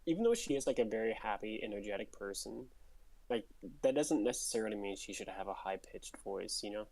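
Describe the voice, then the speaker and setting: A woman's voice: rumbling low, lively intonation, fairly quick, gravelly, darkish tone, low-quality recording. A woman commenting casually on a game as she streams it. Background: game music.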